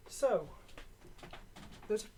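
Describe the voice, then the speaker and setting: A gaming video creator chatting casually and off the cuff in a halting way while showing items. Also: dark tone. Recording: clearly noisy background.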